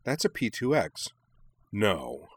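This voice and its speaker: A man's voice: lowish voice, very animated, slow, a bit bright, husky, no echo, exaggerated voice. A man voicing an exuberant, playful young woman racer in an audiobook, gushing and theatrical. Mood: cheerful surprise.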